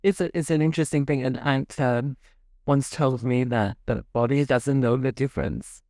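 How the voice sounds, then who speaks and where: A man hosting an interview, asking curious follow-up questions and adding soft hums of agreement. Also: medium pitch, normal speed, no echo, clean studio sound. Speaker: interviewer, host.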